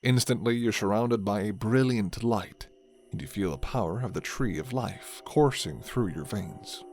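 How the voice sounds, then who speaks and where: A man narrating a scripted fantasy story in the second person, with measured, dramatic storytelling. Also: on the low side, a little mellow, soft background music.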